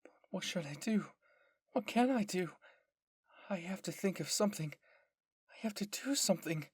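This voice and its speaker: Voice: medium pitch, animated, normal speed, slightly rough, no echo, voice pushed up. A performer acts out a young woman soldier in an anime audio drama. She is desperate and weighed down by guilt. Her pleading is urgent and tearful, recorded dry and close. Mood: guilty, panicked.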